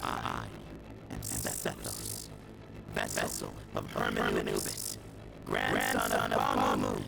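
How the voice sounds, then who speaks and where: An actor playing an earnest, resolute young temple duelist in a dramatic video game, with a slightly halting delivery. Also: male voice, rumbling low, level tone, creaky, exaggerated voice. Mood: solemn, resolute. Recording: game audio with loud music behind.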